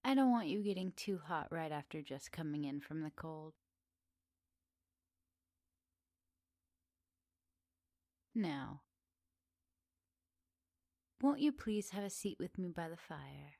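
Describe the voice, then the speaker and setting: A flirty witch of the woods, played by a woman, speaks straight to the listener in an ASMR roleplay. She is unhurried, teasing and gently doting, with quaint good manners. The sound is dry and intimate. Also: low-pitched, lively intonation, no room sound. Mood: coaxing, hospitable. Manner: teasing, gently doting, slightly old-fashioned politeness.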